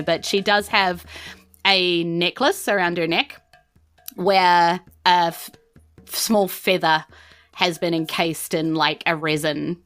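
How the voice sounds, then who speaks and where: A woman improvising narration as a game master in a casual, halting way. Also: on the low side, a bit bright.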